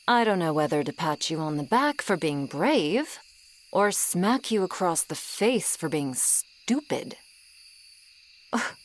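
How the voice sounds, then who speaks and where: A woman's voice: medium pitch, slight background noise. A woman voicing a bossy, exasperated but secretly caring female swamp monster in an ASMR audio roleplay. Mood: scolding, incredulous. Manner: exasperated, bossy, scolding, deliberate.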